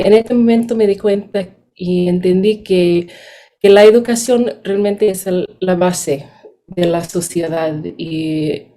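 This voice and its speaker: Mellow woman speaking careful Spanish. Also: on the low side. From United States. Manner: careful and measured, sometimes searching for words. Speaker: postdoctoral education researcher. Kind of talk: seminar presentation.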